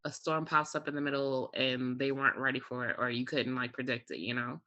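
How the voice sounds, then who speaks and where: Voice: medium pitch, fairly even intonation, a little fast, pushed and bright. An air traffic controller talking casually as an interview guest, explaining things step by step with examples. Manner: explains step by step with examples, frequent "you know" and "like".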